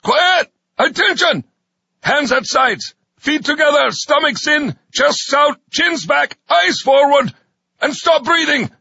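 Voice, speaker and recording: A man's voice: extremely high pitch, lively intonation, slow pace, vocal fry, pushed and bright, no echo, lo-fi sound, pitched above the performer's own voice, full character voice. A man voicing a bullying army sergeant who screeches and barks orders. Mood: shouting orders.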